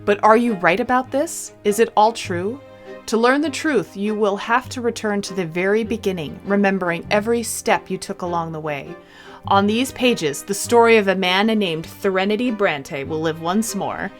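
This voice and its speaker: A woman's voice: medium pitch, normal speed, faint music behind. A woman doing a let's-play of a visual novel, reading the text aloud expressively and adding casual, chatty comments. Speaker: game streamer.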